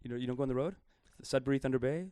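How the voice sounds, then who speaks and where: A man, a comedian co-hosting a casual podcast, animated and laughing often. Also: mid-range voice, racing, smooth, dry recording, studio quality. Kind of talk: casual banter.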